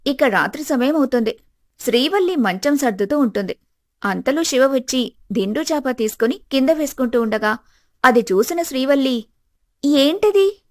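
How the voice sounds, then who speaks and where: A woman's voice: high voice, animated, normal speed, no echo, clean studio sound. A woman narrating a scripted family story as its storyteller.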